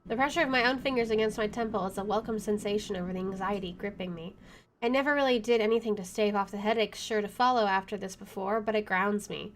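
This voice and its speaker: A woman doing let's play commentary, reading game text aloud in an expressive, dramatic way. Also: medium pitch, quick pace. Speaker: video game streamer. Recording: background noise and game ambience.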